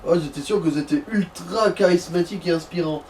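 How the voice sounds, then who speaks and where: A man's voice: high voice, fairly quick, darkish tone. A man giving casual, slangy commentary on a video game, explaining things as he goes. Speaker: co-commentator of a let's play. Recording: noisy room, distant microphone.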